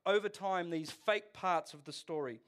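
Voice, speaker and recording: A man's voice: high-pitched, normal speed, fairly bright tone. A man in his forties preaching a casual, joking sermon, often asking the congregation questions. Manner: chatty, jokey, asks the audience questions. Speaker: pastor. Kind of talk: conversational sermon.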